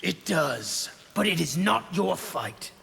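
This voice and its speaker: A man voicing a disciplined, aggressive soldier in a fighting game, with a curt, intense and forceful manner. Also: on the high side, big pitch swings, slow pace, vocal fry, slightly rough, exaggerated voice. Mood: curt, hostile. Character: disciplined, aggressive male soldier, loyal to his general. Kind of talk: fighting game character acting. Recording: reverberant hall, background noise.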